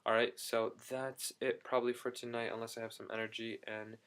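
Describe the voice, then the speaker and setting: A young man in a casual video diary, talking excitedly and rambling with quick restarts. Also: medium pitch, clear, resonant tone. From United States. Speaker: vlogger.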